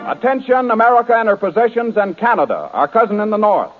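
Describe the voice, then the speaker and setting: Animated 1930s radio announcer man. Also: high-pitched, quick pace, over loud music. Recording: narrow, muffled vintage 1930s radio broadcast.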